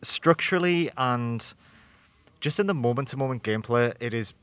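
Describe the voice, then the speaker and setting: A man's voice: medium pitch, lively intonation, normal speed, fairly bright tone, clean tone. A young man giving casual, musing game commentary as an interviewer, speaking informally into a handheld microphone. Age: young adult. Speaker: interviewer and games journalist. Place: small indoor room at a games convention.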